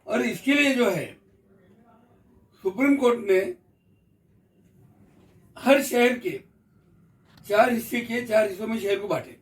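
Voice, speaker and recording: A man's voice: sits high, a little slow, pauses often. A man with an Indian accent giving an informal explanatory talk, methodical, repeating and rephrasing his points. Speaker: speaker explaining court rules to the public. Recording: voice distant from the mic.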